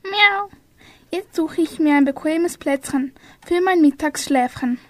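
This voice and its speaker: A woman's voice: high-pitched, measured pace, no room sound. A young female performer voicing a lazy, grumpy tomcat in a children's amateur radio play. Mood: sleepy, content.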